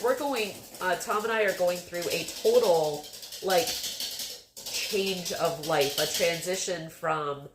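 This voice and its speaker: A woman in her fifties chatting casually as a livestream host, rambling with many fillers. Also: lowish voice. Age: 50s. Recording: echoey room with background music.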